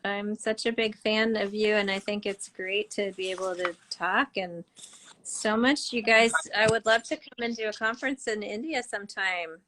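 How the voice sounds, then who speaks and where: A woman's voice: mid-range voice, normal speed, a bit bright, slight background noise, low-quality recording. Bubbly woman hosting a live chat. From United States. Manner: bubbly, enthusiastic, laughs often. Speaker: host doctor. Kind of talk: live online interview.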